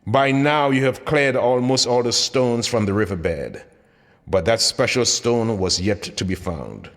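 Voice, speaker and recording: A man's voice: mid-range voice, lively intonation, a little slow, fairly bright tone. A man reading his own motivational book aloud as scripted narration, with dramatic, emphatic delivery. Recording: long hall-like reverb.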